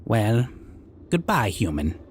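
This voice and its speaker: A man's voice: lowish voice, big pitch swings, slow pace, a little hiss or hum. A man voicing a civilized goblin king in an audio roleplay, sly and menacing yet amused, musing in broken grammar with hums. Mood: scheming, smug. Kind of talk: character audio roleplay addressed to listener.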